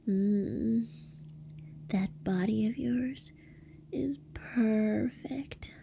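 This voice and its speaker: A woman playing a seductive mature woman in a breathy, creaky ASMR whisper. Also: a little high, very slow, warm tone, exaggerated voice. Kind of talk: ASMR roleplay. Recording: faint background noise.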